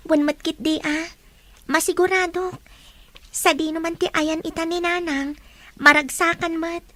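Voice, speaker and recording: A woman's voice: extremely high pitch, fairly even intonation, a little slow, a bit bright, dry recording. A woman acting a grateful, dutiful teenage eldest daughter in an Ilocano radio drama, with a tender, girlish delivery. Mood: tender, wistful. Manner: tender, earnest. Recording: music bed under the dialogue.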